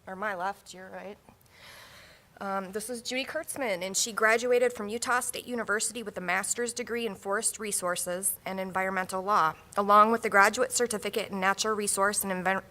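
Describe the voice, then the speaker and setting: A woman acting as conference emcee, reading a speaker's biography in a steady, formal way in a large room. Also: mid-range voice.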